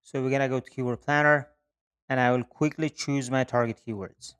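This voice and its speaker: A man's voice: mid-range voice, steady tone, brisk, fairly bright tone, smooth. A man presenting a step-by-step screen-share tutorial in a repetitive, instructional way. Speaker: online marketing tutorial presenter.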